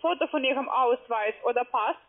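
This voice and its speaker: Very high-pitched guy pushing a scam over the phone, halting broken sentences, tinny line. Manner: halting, broken grammar, insistent. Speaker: scam caller. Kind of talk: phone conversation.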